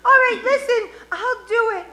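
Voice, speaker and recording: An anxious, devoted radio-play sweetheart with a melodramatic manner. Her voice is extremely high and bright, set in a roomy space. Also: moderate pace. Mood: anxious devotion. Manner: melodramatic, plaintive. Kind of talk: comedic old-time radio play acting. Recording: roomy stage, faint music.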